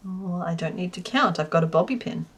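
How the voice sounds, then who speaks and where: A woman's voice: lowish voice, animated, fairly quick, slightly rough. A woman chatting casually on a crochet livestream, rambling with many fillers and reading chat aloud. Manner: reads chat aloud and counts stitches quietly. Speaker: crochet streamer.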